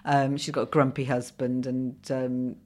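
Low-voiced Welsh woman, hesitant. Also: level tone, normal speed, a little mellow. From Wales. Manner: hesitant, full of ums and restarts. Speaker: guest, comedy writer and former commissioning editor. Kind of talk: casual podcast conversation.

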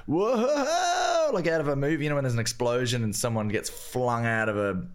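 An Irish man co-hosting a comedy podcast, playful and quick to laugh in casual chat. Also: medium pitch, lively intonation. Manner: playful, giggly, swoops into silly voices. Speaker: podcast co-host.

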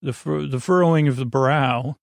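A man hosting a bedtime podcast in a creaky voice, meandering gently with hesitations, self-interruptions and a silly, lulling manner. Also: mid-range voice, moderate pace, no room sound, studio quality. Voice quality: creaky, gravelly. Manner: soothing, lulling. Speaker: podcast host. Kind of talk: rambling bedtime podcast monologue.

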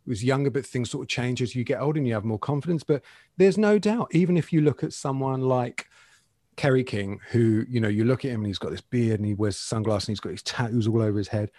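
A man in his forties chatting openly as an interview guest, relaxed and rambling, often tossing in "you know what I mean". His voice sits at an ordinary middle pitch and sounds close and dry, with no room around it. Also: fast, no room sound. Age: 40s. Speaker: guest guitarist. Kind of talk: casual interview chat.

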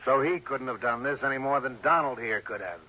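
An American actor playing a tough, brusque, impatient police sergeant in a 1960s radio drama. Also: male voice, mid-range voice, brisk, bright, forceful tone. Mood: brisk, impatient. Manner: brusque, clipped, commanding. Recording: dull vintage radio recording.

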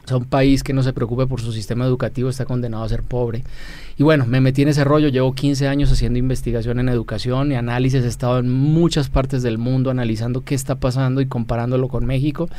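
Guy in his 40s, mid-pitched voice, chatting casually about his own life like a podcast guest. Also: steady tone, quick pace, mellow. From Colombia. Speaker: interview guest, university rector and educator. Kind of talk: casual personal storytelling.

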